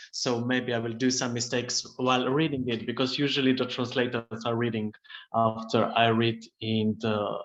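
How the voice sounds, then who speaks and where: A man's voice: medium pitch, fairly bright tone. A man whose first language is Slovene, speaking carefully and unhurriedly before reading his poems over a video call. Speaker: poet.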